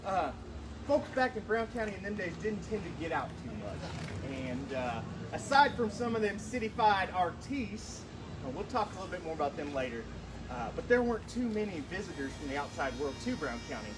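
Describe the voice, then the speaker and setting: Man, high voice, folksy live storyteller and show host, colloquial rural phrasing, distant noisy recording. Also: sing-song, a little fast. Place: live show at an art guild event. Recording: distant mic, noisy live event ambience.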